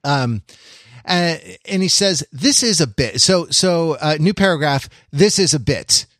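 A man co-hosting a podcast in casual discussion, with a halting, wry, self-interrupting way of talking. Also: a little high, animated, fairly bright tone, dry recording, studio quality. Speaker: podcast co-host. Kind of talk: casual discussion, summarizing and quoting an article.

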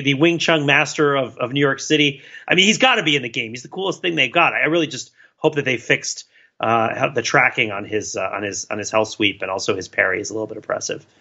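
Male co-host, higher-pitched and husky, wry casual banter, slightly dull recording. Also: bright, forceful tone. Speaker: podcast co-host.

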